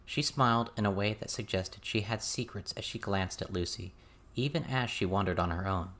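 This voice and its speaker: A man's voice: fairly low pitch, moderate pace, a bit bright. A man reading a scripted story as an audiobook narrator.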